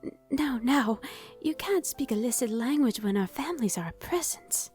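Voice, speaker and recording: A woman's voice: a little high, expressive, normal speed, darkish tone, soft background music, close to an everyday voice. A woman playing a proper, anxious young princess in a romantic ASMR roleplay, speaking in a genteel, hesitant way. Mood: polite, nervous.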